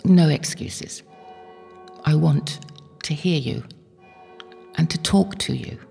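An actress playing an elderly, devout medieval holy woman in an audio drama, gentle and wistful, musing hesitantly. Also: deep voice, slow, creaky, full character voice. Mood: prayerful, confiding. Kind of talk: audio drama acting, intimate prayerful monologue. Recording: long hall-like reverb, faint music behind.

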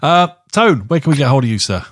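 A man's voice: fairly high pitch, big pitch swings, quick pace. Informal man hosting a podcast. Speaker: podcast host. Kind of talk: casual film chat.

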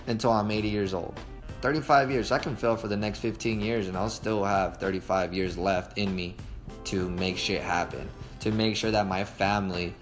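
Emphatic low-voiced man in his thirties. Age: 30s. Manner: emphatic, repeats himself, casual slang. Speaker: vlogger. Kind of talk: motivational talk to camera.

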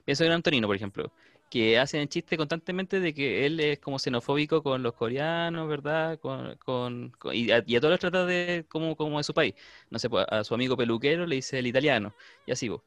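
A man with a Chilean Spanish accent, debating casually and often searching haltingly for his words. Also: mid-range voice, fairly bright tone, dry recording. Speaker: discussion panelist.

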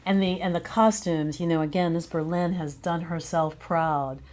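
Effusive woman with a low-pitched voice. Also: steady tone, moderate pace, a little mellow. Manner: effusive, emphatic praise. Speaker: guest, playwright and director. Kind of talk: conversational interview.

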